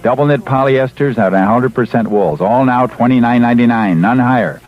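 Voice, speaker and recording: Male ad announcer: mid-range, pushy, repetitive retail pitch, seventies radio, slightly dull fidelity. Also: fairly quick. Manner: insistent, repetitive hard sell. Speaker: commercial announcer. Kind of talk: 1970s radio retail ad read. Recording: dull vintage radio broadcast.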